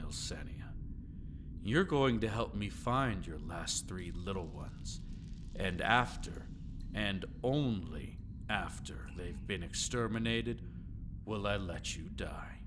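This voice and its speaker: Older vampire hunter played by a man, vengeful and gloating, unhurried menace, audio drama over noticeable background noise. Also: lowish voice. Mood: mocking menace. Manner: slow, menacing, theatrical taunting. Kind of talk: dramatic audio roleplay monologue.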